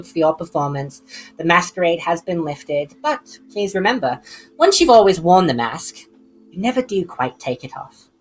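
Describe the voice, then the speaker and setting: A woman's voice: fairly low pitch, rough recording. A woman running a tabletop one-shot as game master, narrating with a theatrical flair. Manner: theatrical narration with dramatic readings.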